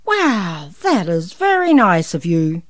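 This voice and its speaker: A woman's voice: expressive, unhurried, no echo, studio quality, close to an everyday voice. Woman putting on a big, hearty, jolly Santa Claus. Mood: jolly, kindly. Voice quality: big, booming.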